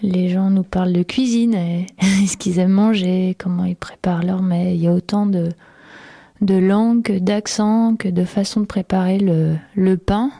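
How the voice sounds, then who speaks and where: A woman hosting a radio show, chatting in a relaxed, hesitant way with many fillers. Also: mid-range voice, measured pace, dry recording. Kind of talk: casual radio chat.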